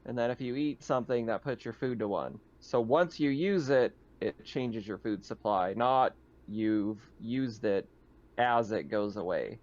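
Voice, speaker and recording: A man's voice: mid-range voice, expressive, a little slow, a little mellow. Man running a game, dull lo-fi sound. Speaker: game master. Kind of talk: casual tabletop game talk, explaining rules. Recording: dull lo-fi voice recording.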